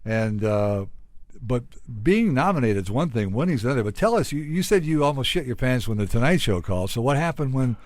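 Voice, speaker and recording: American male host for a casual comedy interview: chatty, joking, average pitch, dry sound. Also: very animated, fairly quick, a little mellow, dry recording.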